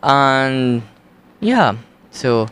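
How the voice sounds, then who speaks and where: A young man with a Colombian accent hosting a college radio show, talking in a slow, halting, casual way. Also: medium pitch, expressive, a bit bright, smooth. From United States. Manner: slow, unhurried, halting delivery.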